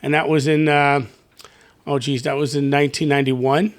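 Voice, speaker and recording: Halting middle-aged man, fairly high voice. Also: little pitch movement, clear, resonant tone. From Canada. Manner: halting, many fillers and restarts. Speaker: guest, basketball coach. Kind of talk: casual interview chat.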